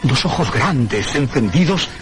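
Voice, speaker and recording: A man's voice: mid-range voice, lively intonation, unhurried, natural voice. A man playing a frightened narrator of a horror radio play, telling his tale with solemn, suspenseful, emphatic phrasing. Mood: suspenseful, fearful. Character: frightened man recounting his descent into a haunted crypt. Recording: clear background noise, radio-play ambience.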